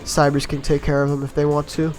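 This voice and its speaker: A man with a somewhat high voice, a little raspy from a cold, talks through his gameplay in a loose, rambling way. He pokes fun at his own blunders as he goes, and faint game music plays behind him. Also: monotone, a little fast, a little mellow. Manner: easygoing, rambling, self-mocking with chuckles. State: slightly raspy from being sick. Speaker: gameplay commentator. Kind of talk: casual voice-over commentary on a game run.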